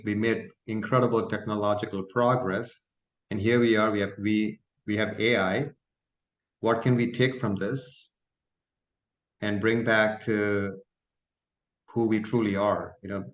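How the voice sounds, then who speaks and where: Guy from south india, first language malayalam, lowish voice, earnest and thoughtful, echoey room on a call. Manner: earnest and reflective, with frequent fillers. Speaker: guest participant. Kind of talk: casual talk at an online meeting. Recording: roomy echo on a call.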